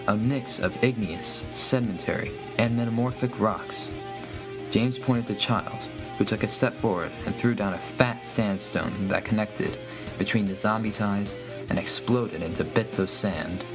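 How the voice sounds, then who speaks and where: A man's voice: lowish voice, moderate pace, music in the background. A man reading a scripted first-person horror story as its narrator.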